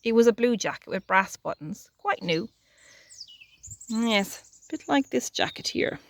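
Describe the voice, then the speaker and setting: A woman's voice: fairly high pitch, expressive, measured pace, vocal fry. A woman reading a children's storybook aloud as a storyteller, expressively, adding sympathetic little asides for young listeners. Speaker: library storyteller.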